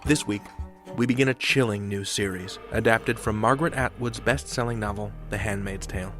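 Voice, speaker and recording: A man's voice: on the low side, brisk. A man hosting a podcast, reading a scripted series introduction. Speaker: host. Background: music.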